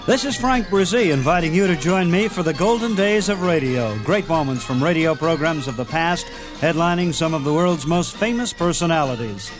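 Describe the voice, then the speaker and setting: A man's voice: fairly high pitch, lively intonation, moderate pace. A man hosting a radio show, delivering scripted narration in an announcer's style. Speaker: radio host. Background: loud music.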